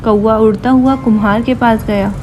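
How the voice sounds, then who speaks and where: A woman's voice: on the high side, steady tone, moderate pace. A woman reading scripted narration for a children's moral story. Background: background music.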